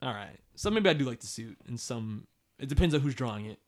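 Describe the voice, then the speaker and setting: A man's voice: mid-range voice, animated, quick pace. A man co-hosting a casual podcast, reading listener emails aloud and joking, often laughing. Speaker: podcast co-host.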